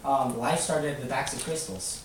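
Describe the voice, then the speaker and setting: A man's voice: medium pitch, normal speed. A man teaching children informally, explaining things simply and prodding them with repeated questions. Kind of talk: casual question-led lesson. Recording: echoey room, distant mic, faint noise.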